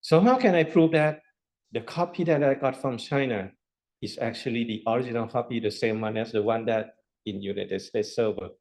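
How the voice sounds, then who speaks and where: A man's voice: medium pitch, moderate pace, gravelly, darkish tone. A man lecturing a university class, explaining step by step with rhetorical questions and repetition. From Thailand.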